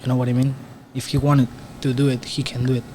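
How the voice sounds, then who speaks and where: A man from Spain with a Spanish accent, a pool player commenting on a match as a guest in halting English. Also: mid-range voice, level tone, mellow, a little hiss or hum. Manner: halting non-native English with restarts. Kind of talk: casual live commentary.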